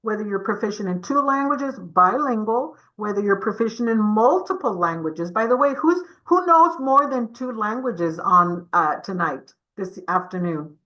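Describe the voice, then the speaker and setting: A woman's voice: medium pitch, lively intonation, moderate pace, few pauses, sharp tone, low-quality recording. A woman giving a community webinar presentation over a video call, encouraging and addressing the audience with questions.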